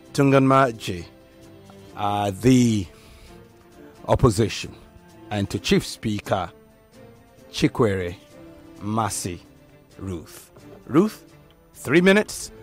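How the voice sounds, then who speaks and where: A man with a Nigerian accent moderates a debate, announcing formally in halting phrases. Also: mid-range voice, expressive, faint music behind.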